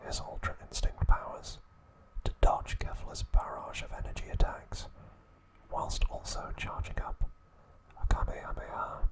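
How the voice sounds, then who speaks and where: A man narrating trivia as an ASMR host in a breathy, hushed whisper, in short measured phrases. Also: poor audio.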